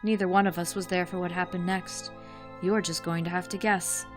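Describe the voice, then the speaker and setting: A woman's voice: fairly low pitch, fairly even intonation, normal speed, close to an everyday voice. A woman playing a sly, mocking schemer in an audio drama, speaking in a wry, formal and taunting way. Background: music.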